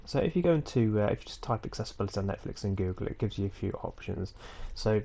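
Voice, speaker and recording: A man with a head cold walking viewers through a screen-recorded tutorial at home in a relaxed, rambling way, with frequent ums and small self-corrections. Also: fairly low pitch, fast talker, creaky. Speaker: tutorial host. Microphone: computer mic for a screen recording.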